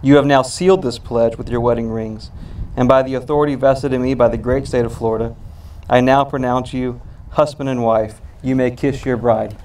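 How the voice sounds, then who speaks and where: A man's voice: mid-range voice, steady tone, moderate pace, hardly any pauses, darkish tone, distant mic. A middle-aged man officiating an outdoor wedding in a ceremonial, prompting style on a handheld microphone. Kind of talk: ceremonial address, prompting vows line by line.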